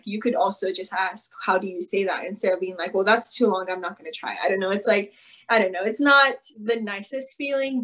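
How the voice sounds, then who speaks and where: Young woman from Nigeria, student on a video call, earnest and chatty. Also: medium pitch. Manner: earnest, chatty, filler-heavy. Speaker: student participant. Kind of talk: candid personal account.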